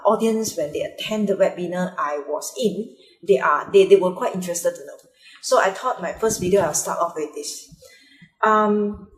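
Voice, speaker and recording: A young Malaysian woman with a Malaysian accent, Mandarin her first language, talking hesitantly in a personal vlog with many fillers and restarts. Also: mid-range voice. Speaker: vlogger. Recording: echoey room.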